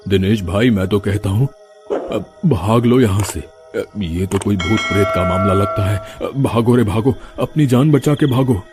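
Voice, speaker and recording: A man's voice: fairly low pitch, a little slow, over loud music, lightly acted. A man voicing a timid young male villager who stammers when frightened, in a cartoon. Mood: scared.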